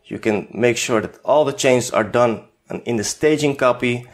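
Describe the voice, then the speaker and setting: A man from the Netherlands with a Dutch accent, whose first language is Dutch, giving an unscripted software tutorial in a hesitant way with many fillers. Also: medium pitch, moderate pace. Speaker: tutorial host.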